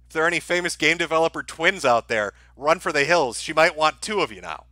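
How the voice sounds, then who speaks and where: Man, livestream host, high-pitched, bright forceful voice, sardonic, mocking, casual commentary, swears freely. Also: lively intonation, fast talker, no room sound.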